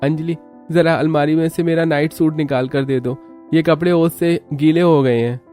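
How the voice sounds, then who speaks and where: A man's voice: on the high side, fairly even intonation, moderate pace, dark tone, faint music behind, pitched above the performer's own voice, close to an everyday voice. A man playing a caring, reassuring young husband in a cartoon family story. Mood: casual request. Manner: concerned, reassuring. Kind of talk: Hindi cartoon dialogue.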